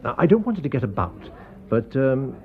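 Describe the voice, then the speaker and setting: An actor playing a coolly scheming English gentleman surgeon in a radio drama, confiding and deliberate. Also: mid-range voice, sing-song, creaky, phone-quality sound. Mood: confiding, persuasive.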